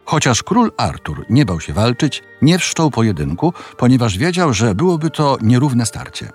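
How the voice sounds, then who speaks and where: A male narrator tells a fairy tale with very varied intonation and a medium pitch. His delivery is measured and dramatic, with soft music behind the voice. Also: very animated. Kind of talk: scripted fairy-tale storytelling.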